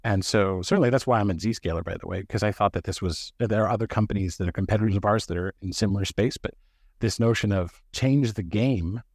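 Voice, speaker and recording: Guy telling security war stories, kinda low voice, dry sound. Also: darkish tone, husky, no echo. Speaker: cybersecurity executive guest. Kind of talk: podcast conversation.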